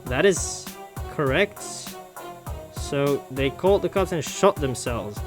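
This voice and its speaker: A gaming video host reading a visual novel aloud in an animated way and reacting to it. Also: mid-range voice, takes it slowly, music in the background. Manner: animated, voices the characters while reading.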